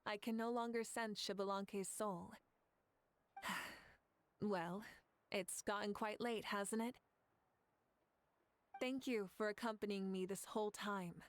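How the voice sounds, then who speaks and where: A woman voicing a calm, gracious female leader in a video game, speaking in a gentle manner. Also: mid-range voice, slow, pauses often, lightly acted. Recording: game audio with music.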